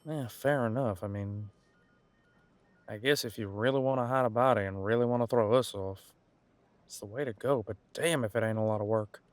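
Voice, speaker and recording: A low-pitched male police detective, acted by a man in an audio drama. He is casual and wry, thinking out loud with loose, hesitant phrasing. The sound is very dry, with no room around the voice. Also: moderate pace, dry recording, lightly acted. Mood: puzzled, intrigued. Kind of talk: one-sided conversational audio roleplay.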